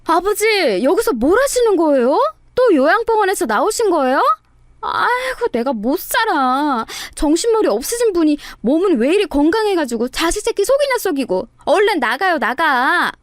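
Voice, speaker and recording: A narrator playing a shrill, scolding daughter-in-law, very high. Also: female voice, sing-song, a little fast, hardly any pauses, no echo, clean studio sound. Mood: exasperated. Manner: scolding, theatrical. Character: loud scheming daughter-in-law faking an exasperated daughter. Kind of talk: dramatized audio story.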